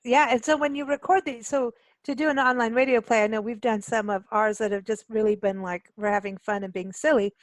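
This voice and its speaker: A woman's voice: on the high side, steady tone, brisk, no room sound. A woman hosting a casual radio interview, chatting informally with loose, rambling questions. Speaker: radio show host.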